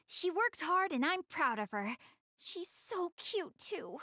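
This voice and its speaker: A woman's voice: extremely high pitch, very animated, takes it slowly, bright, forceful tone, no echo, studio quality, exaggerated voice. A woman voicing a shy, prideful young goddess girl in an English-dubbed anime game, flustered and earnest but a little haughty. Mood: friendly, open.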